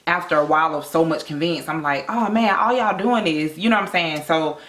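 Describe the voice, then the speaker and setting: A woman's voice: low-pitched, brisk, fairly bright tone. Casual American female co-host, conversational review talk, roomy echo on the recording. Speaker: vlog co-host reviewing a film. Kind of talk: casual chat, movie review. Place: indoor room with bare wall.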